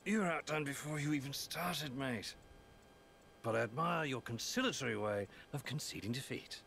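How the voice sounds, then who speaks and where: An actor playing a roguish, slippery pirate captain with a wry, sly drawl and archaic turns of phrase in a video game. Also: male voice, medium pitch, normal speed, vocal fry, a bit bright, a little hiss or hum. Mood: wry, cocky. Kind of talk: video game cutscene acting.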